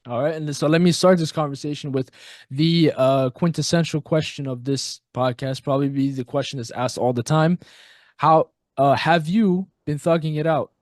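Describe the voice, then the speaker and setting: Young man, podcast host, casual interview, measured questions, slightly higher voice, dry close sound. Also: dry recording. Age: young adult.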